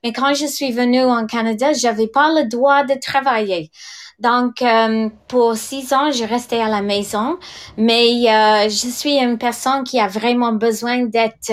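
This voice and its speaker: Slow, hesitant woman in her sixties. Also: a little high. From England. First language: English. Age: 60s. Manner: hesitant, many fillers and restarts. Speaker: direct sales leader. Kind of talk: podcast testimony.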